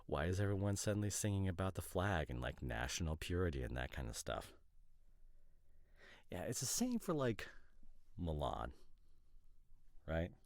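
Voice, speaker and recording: A nitpicking boyfriend from an audio drama, acted by a man, speaks in an extremely deep voice. He argues casually and pedantically, with exasperated, halting asides. The sound is dry and close. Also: a little mellow, no room sound. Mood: argumentative. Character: opinionated, nitpicking boyfriend, a professional costume designer.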